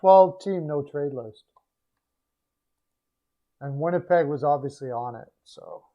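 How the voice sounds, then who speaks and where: Man, hoarse voice, tired hesitant stream host, muffled lo-fi audio. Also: takes it slowly. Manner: low-energy, trailing off, hesitant restarts. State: hoarse, losing his voice. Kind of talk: casual hockey chat with viewers.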